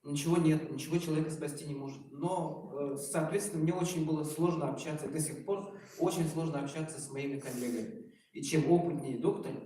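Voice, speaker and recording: A man in his forties with a fairly high voice speaks to a room as a doctor giving an informal testimonial. His delivery is halting but emphatic, with some self-irony. The sound is heavily echoed and picked up far from the microphone. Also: dark tone, a little hiss or hum. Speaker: doctor speaking at a promotional presentation. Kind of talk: informal public testimonial talk. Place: echoey indoor presentation room.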